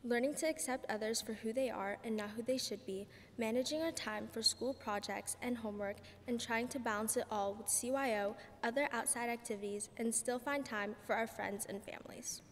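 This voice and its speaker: A woman's voice: a little fast, hardly any pauses. A teenage girl reading a prepared speech at a graduation ceremony, as one of the students. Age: teenager, about 14. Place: large church, indoors. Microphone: podium microphone. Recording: church reverb with faint rumble.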